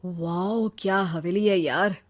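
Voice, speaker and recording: A woman's voice: lowish voice, steady tone, slow pace. A woman voicing chatty, puzzled village characters in a cartoon story. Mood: playful.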